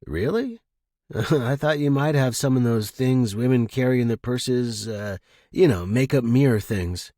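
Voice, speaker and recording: A man's voice: mid-range voice, unhurried, mellow, no room sound, clean studio sound, natural voice. A man voicing a scheming, smug young male office analyst in a story, casual and sly. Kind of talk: narrated story character dialogue.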